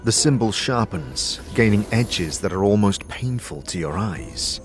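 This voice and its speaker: A man's voice: lowish voice, expressive, measured pace. A man narrating a scripted fantasy audio drama in the second person, as a game master would. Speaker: narrator and game master, also voicing the lead character. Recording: music under the voice.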